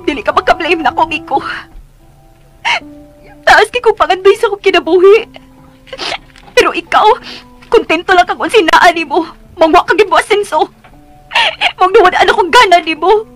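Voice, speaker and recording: A woman's voice: extremely high pitch, normal speed, pauses often, a bit bright, faint music behind. An actress with a Visayan Filipino accent playing an aggrieved, pleading young woman in a melodramatic radio drama. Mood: bitter. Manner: plaintive, melodramatic.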